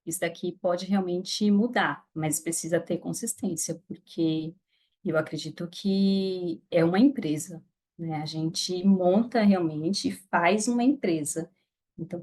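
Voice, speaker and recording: Woman, Brazilian Portuguese accent, podcast guest, reflective, hesitant restarts, mid pitch. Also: a little mellow. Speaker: guest, affiliate marketer. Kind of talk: casual podcast interview.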